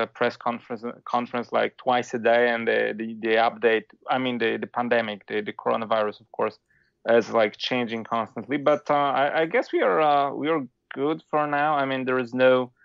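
A man from Poland with a Polish accent, a philosopher guest talking casually, with a halting manner full of restarts and fillers. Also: medium pitch, fairly even intonation, vocal fry, clean tone, no room sound, muffled recording. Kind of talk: casual interview talk.

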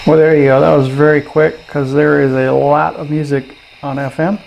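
Creaky-voiced man casually reviewing a gadget. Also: fairly high pitch, level tone, moderate pace, dull audio, slight background noise. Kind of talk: casual hands-on review chat. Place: indoors at a desk.

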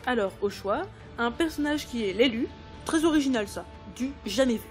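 A woman playing a sharp-tongued, sardonic book editor in an audio drama. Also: a little high, expressive, normal speed, close to an everyday voice. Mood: scornful, exasperated. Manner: cutting, sardonic. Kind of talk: French audio drama acting. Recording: music behind the voice.

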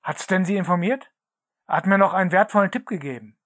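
Give me a scheming businessman barking flat orders, read by a synthetic woman's voice in a radio play. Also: on the low side, brisk, lightly acted. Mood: commanding. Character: scheming male businessman giving curt orders. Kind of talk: synthetic text-to-speech reading.

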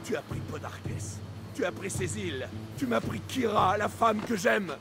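An actor playing a proud, lovesick young soldier in a French video game dub, intense and wounded. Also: male voice, sits high, lively intonation, moderate pace, gravelly. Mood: bitter, desperate. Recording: music behind the voice.

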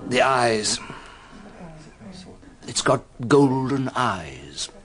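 An actor playing a tipsy, rattled village doctor in a radio drama, rambling and halting. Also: male voice, medium pitch, very animated. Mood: drunken unease. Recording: radio play with busy crowd behind.

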